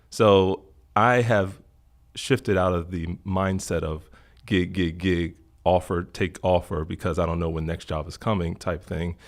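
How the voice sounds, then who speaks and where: A middle-aged man from Florida talks in a relaxed interview, his low voice gravelly with fry. He speaks earnestly and thoughtfully, repeating phrases to stress a point. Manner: earnest, reflective, emphatic repetition. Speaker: podcast guest. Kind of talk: casual interview talk.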